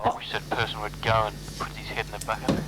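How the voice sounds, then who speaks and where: Man, lowish voice, dry wry delivery, casual amateur radio chat, tinny microphone, loud noise and clicks. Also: monotone, quick pace.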